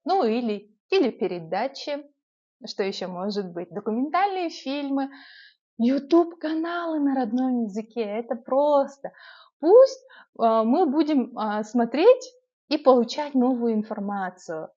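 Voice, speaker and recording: A young woman from Yakutia with an urban Yakut accent, giving language-learning tips in a casual, lively vlog with frequent filler sounds. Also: high-pitched, a little slow, dull audio. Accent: urban Yakut Russian. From Yakutia, Russia.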